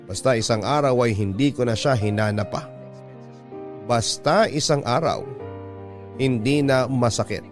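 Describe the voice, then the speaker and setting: A man's voice: medium pitch, close to an everyday voice. Wistful storyteller read by a man over music. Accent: Filipino. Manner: reflective, sentimental. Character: wistful letter sender recounting lost love. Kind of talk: radio storytelling narration.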